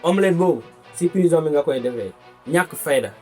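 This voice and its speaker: A man's voice: fairly high pitch, lively intonation, fairly quick, warm tone, faint music behind, natural voice. A man playing a stern, merciless cartoon judge with a scolding, dismissive manner. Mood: stern, scornful. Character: stern merciless male judge handing out absurd sentences. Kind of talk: comic cartoon courtroom dialogue in Wolof and French.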